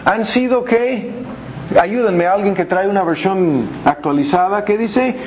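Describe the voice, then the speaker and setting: A man's voice: high-pitched, measured pace, a little mellow, lo-fi sound, slight background noise. A male pastor preaching a sermon, reading verses aloud and quizzing his congregation as he explains them word by word. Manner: explains word by word with frequent asides. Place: church hall with congregation.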